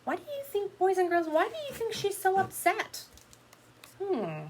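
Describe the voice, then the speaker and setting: A woman's voice: extremely high pitch, huge pitch swings, moderate pace, darkish tone, a little hiss or hum. A woman teacher reading a picture book aloud to children, expressively, with explanatory asides to her young listeners.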